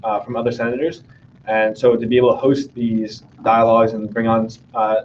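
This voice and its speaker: A young man from New Jersey, a student senate candidate, giving an earnest, measured speech to a meeting with a few hesitations. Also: mid-range voice, a little mellow. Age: young adult. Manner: earnest, measured, slightly halting. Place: meeting room. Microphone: room mic from afar. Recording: distant, dull, faint hiss.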